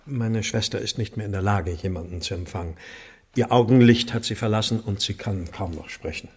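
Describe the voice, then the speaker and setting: An actor playing a stiff, defensive married gentleman with a husky voice, speaking formally in a radio play. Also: on the low side, a little fast, long reverb tail. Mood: strained, formal. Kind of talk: dramatic radio play dialogue.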